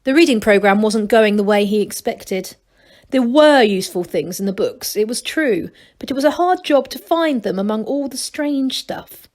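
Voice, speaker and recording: Higher-pitched woman reading a children's story. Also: lively intonation, normal speed, darkish tone. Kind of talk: children's book read aloud.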